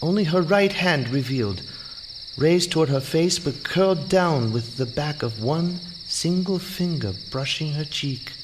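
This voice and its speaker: A man's voice: fairly high pitch, lively intonation, a little slow, background noise. An actor playing a nervous, reluctant young man in a theatrical audio drama, earnest and easily awed. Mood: awestruck. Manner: anxious, protesting, earnest. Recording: night insect ambience behind.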